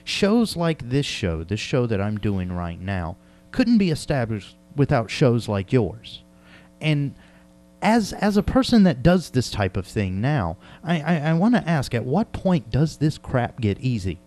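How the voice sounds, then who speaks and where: Informal man with lively, swinging intonation. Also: medium pitch, measured pace. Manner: hesitant, stammering, informal. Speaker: radio show host interviewing a guest. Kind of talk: casual chat.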